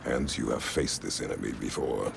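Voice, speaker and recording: Grim old war god, super deep gravelly growl, short blunt lines, game audio with noise under it. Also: male voice, normal speed, exaggerated voice. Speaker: video game character.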